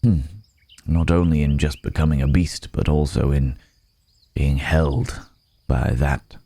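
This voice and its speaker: A man playing a weary, remorseful werewolf in human form, speaking haltingly and gravely in an intimate ASMR roleplay. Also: extremely low pitch, steady tone, warm tone, exaggerated voice. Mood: grave, haunted.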